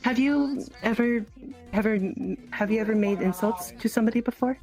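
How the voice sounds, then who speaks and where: A woman improvising as an eager, naive young character in online roleplay, halting and repeating herself. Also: on the high side, a little mellow, soft background music, low-quality recording.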